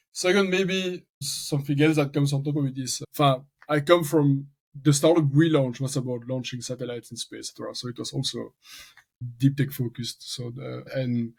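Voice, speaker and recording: A man's voice: medium pitch, darkish tone. A man from French-speaking Belgium with a strong French accent, a talkative podcast guest who thinks aloud in restarts. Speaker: venture capital founder, guest. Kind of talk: casual podcast interview.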